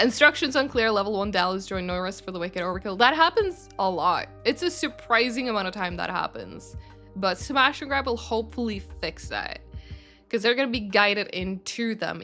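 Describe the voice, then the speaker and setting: A young woman hosts a game livestream and answers viewers in a loose, rambling chat full of fillers and restarts. Her voice sits at an ordinary middle pitch. Faint music plays underneath. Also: pushed and bright. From Sweden. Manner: chatty, rambling, frequent fillers and self-corrections. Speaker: community manager hosting a game studio stream. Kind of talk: casual livestream chat reading viewer questions.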